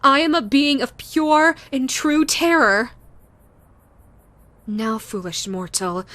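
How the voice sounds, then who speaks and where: A woman playing a female yokai ghost in an audio roleplay, theatrical and deliberate, menacing yet easily flustered. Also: high-pitched, fairly bright tone, dry recording, exaggerated voice. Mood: threatening. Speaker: voice actress. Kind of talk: audio roleplay, character speaking to listener.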